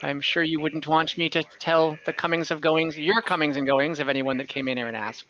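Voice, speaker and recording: A man's voice: fairly high pitch, fairly even intonation, a little fast, fairly bright tone, dull audio, close to an everyday voice. A man voicing a coy female innkeeper who pretends she cannot share her guest ledger. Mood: reluctant. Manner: coy, hesitant, playing at reluctance.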